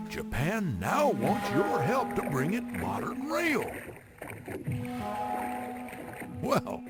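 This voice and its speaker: An actor playing a wry, folksy, teasing railroad narrator in a game cutscene, giving theatrical briefings with drawn-out emphasis. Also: male voice, high-pitched, heavily acted. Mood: sly, coaxing. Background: music.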